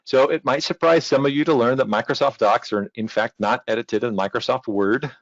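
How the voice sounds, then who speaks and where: Guy walking thru a software howto, casual n reassuring, mid pitch, kinda muffled dry mic. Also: fairly quick, dry recording. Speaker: technical documentation team member, presenter. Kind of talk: informal tutorial presentation.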